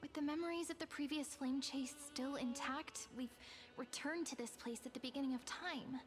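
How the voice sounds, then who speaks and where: A woman playing a gentle, wistful young heroine in a video game, speaking softly and earnestly with measured, dramatic phrasing. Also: sits high, close to an everyday voice. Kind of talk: video game story voice acting. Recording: game music behind the voice.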